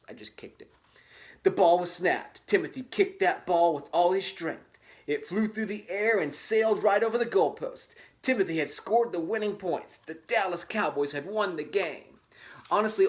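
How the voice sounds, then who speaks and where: A man with a slightly higher-pitched voice reads a children's storybook aloud in dramatic fashion. He drops into casual, wry asides with a tongue-in-cheek manner. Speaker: host reading a children's book aloud with asides. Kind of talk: dramatic storybook reading broken by casual joking commentary.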